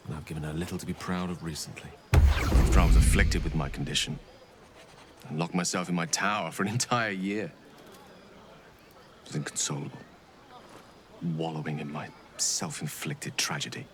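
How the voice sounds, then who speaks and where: An actor playing an eloquent wizard who reminisces wistfully in a video game. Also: male voice, very deep voice, moderate pace, long pauses, music in the background. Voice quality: eloquent, theatrical.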